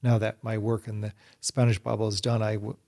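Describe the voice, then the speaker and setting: A man's voice: lowish voice, level tone, brisk, creaky, darkish tone. A middle-aged man answering interview questions earnestly, often restarting and repeating words. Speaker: guest, Bible society secretary.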